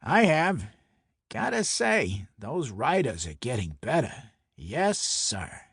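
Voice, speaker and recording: A man's voice: mid-range voice, huge pitch swings, slow pace, vocal fry, fairly bright tone, breathy edge, dry recording, clean studio sound, exaggerated voice. A man playing a brash, mocking, hot-tempered mob enforcer with a Brooklyn accent in dramatic audio fiction, taunting and blustering. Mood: jovial, needling.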